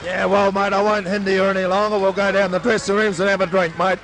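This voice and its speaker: A hoarse man, excitedly calling a local rugby league game as play-by-play commentator. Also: high voice, fast. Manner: excitable, voice soaring on breaks. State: hoarse, losing his voice. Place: outdoor grandstand at a suburban oval. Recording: old amateur sports recording with crowd noise. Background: crowd noise.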